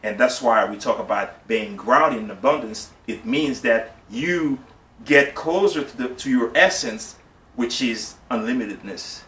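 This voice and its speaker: A man's voice: mid-range voice, unhurried, fairly bright tone. Earnest Haitian man explaining at length. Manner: earnest, explanatory, repeats "you see what I'm saying". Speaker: guest. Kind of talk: casual discussion. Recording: echoey room, mic at a distance, faint noise.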